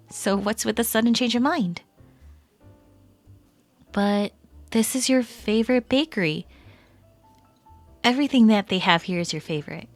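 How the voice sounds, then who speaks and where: A woman playing a chatty, teasing female best friend in casual, animated roleplay audio. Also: mid-range voice, no room sound, natural voice. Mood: playful indignation.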